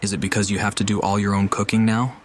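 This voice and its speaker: A man's voice: fairly low pitch, flat delivery, fast, a little hiss or hum. A man doing casual gameplay commentary and reading game dialogue aloud. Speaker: video game player. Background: game music.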